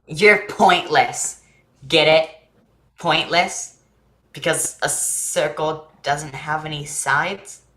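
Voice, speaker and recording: Eager, earnest kid played by a woman for a staged play, full of questions, slightly low, echoing room. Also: takes it slowly, fairly bright tone. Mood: playful. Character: naive, eager, chatty young boy who loves jokes.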